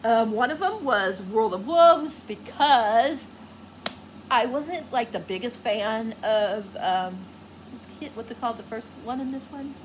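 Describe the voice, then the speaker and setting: A woman's voice: a little high, animated, slow pace, slight background noise, rough recording. A woman book vlogger chatting casually to viewers, gushing and rambling as she searches for words.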